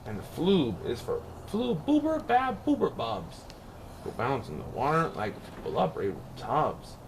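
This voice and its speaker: A man reading a rhyming children's story aloud in an expressive, playful, sing-song way. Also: on the high side, unhurried, gravelly, noisy background. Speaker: picture book reader.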